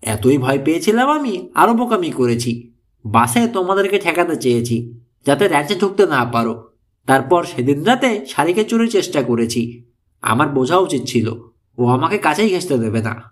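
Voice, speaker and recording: A man's voice: on the high side, big pitch swings, normal speed. A man voicing an anxious, remorseful middle-aged woman in an audiobook, speaking in an earnest, confessional manner. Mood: regretful.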